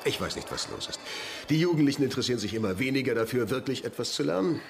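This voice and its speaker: Creaky, earnest male school principal. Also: mid-range voice, expressive, quick pace, slight background noise. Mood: weary complaint. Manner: formal, hesitant, earnest. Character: fussy, earnest school principal, resigned and formal. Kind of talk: German radio crime drama acting.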